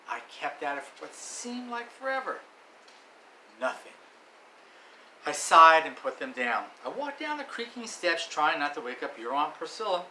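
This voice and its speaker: A folksy storybook uncle spinning a campfire yarn, as a man puts him on, bright and forward in tone. He tells it like a sportscaster. The room around him rings with echo and a faint hiss. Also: fairly high pitch, full character voice. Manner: tall-tale storyteller, swelling into excited play-by-play. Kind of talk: storybook reading. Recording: echoey room with faint noise.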